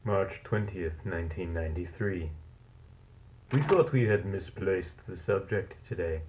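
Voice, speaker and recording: Man acting a low-voiced, clinical scientist on tape. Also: background noise. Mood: grave, clinical. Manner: clinical, measured, formal. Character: cold, fascinated male scientist logging a monstrous experiment. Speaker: narrator of a found-footage audio log. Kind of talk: scripted horror narration, dated lab log. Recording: dull lo-fi tape recording.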